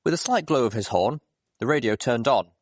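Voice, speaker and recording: A man reading a story aloud as a scripted, expressive narration. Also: mid-range voice, brisk, dry recording, clean studio sound. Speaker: audiobook reader.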